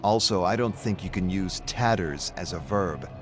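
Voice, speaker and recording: A man's voice: deep voice, moderate pace, over music, natural voice. A man voicing a contrary, opinionated skeptic in a story, blunt and questioning. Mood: nitpicking. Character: nitpicking male skeptic, contrary and opinionated listener.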